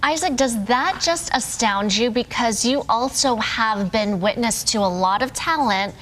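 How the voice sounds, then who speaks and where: A woman's voice: a little high, unhurried, a bit bright, a little hiss or hum. A woman co-hosting a morning TV show, asking her guests upbeat, conversational questions in a studio. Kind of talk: live TV interview.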